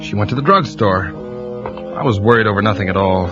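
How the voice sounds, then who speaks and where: An actor playing an uneasy male insurance investigator who narrates his own story in halting, nervous speech, in a 1940s radio drama. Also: fairly low pitch, natural voice. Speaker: narrator and lead character. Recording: lo-fi vintage radio broadcast with music.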